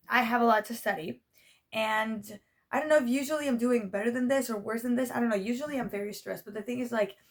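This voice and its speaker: Young woman, somewhat high voice, slight rasp, casual vlog chat, rambling, interrupts herself. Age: young adult. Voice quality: slightly raspy. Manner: rambling, self-interrupting, frequent check-in tags. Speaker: vlogger.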